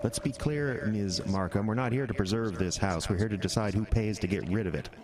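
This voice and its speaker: An actor playing a blunt, sarcastic government bureaucrat in a radio drama, with storm effects behind. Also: male voice, lowish voice, fairly quick, background noise, close to an everyday voice. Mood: argumentative. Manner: sarcastic, argumentative.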